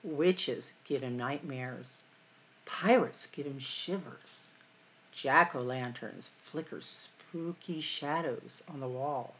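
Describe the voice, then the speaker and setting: Slow-paced woman reading to children. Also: very deep voice, slight background noise, low-quality recording. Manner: deliberate, expressive storytelling for young children. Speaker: library storytime host. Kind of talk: children's picture-book read-aloud. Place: small room at home or office, indoors.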